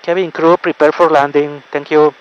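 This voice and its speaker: A man's voice: on the high side, little pitch movement, very slow, no room sound. A man speaking as an airline captain, giving a formal in-flight announcement over the cabin PA. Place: aircraft public address system. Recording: muffled cabin PA with noise. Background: cabin noise.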